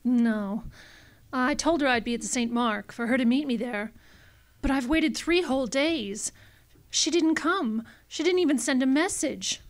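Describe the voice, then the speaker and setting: Lady playing a worried damsel client in a detective radio play, highish voice, hesitant n pleading. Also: a little slow. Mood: anxious, pleading. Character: anxious, pleading well-mannered young female client. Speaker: actor. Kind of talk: hard-boiled radio drama acting.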